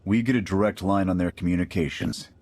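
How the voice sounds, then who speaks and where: An actor playing a seasoned male special forces major in a game cutscene, giving clipped military briefings with a husky voice. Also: deep voice, a little fast, a little mellow, no room sound. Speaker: military squad commander. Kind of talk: video game cutscene acting.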